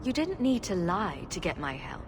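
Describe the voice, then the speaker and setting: A woman's voice: on the low side, sing-song, moderate pace, noisy background. An actress playing a female hero in a game, speaking directly and plainly. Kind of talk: video game dialogue. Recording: game music underneath. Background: game score.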